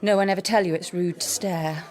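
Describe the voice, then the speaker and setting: An actress playing a flirtatious, bored upper-class wife in a period radio drama, teasing and sardonic. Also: female voice, on the low side, steady tone, brisk, darkish tone, close to an everyday voice. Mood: bitter, wry. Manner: arch, teasing. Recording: music behind the voice.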